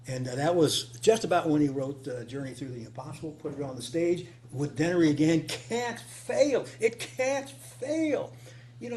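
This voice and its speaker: Higher-voiced man presenting, noisy humming recording. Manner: folksy, animated asides, repeats phrases for emphasis. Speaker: conference speaker, writer of radio dramas. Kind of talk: informal conference presentation, off the cuff. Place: meeting room, live before a small group. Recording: live talk with clear hum and noise.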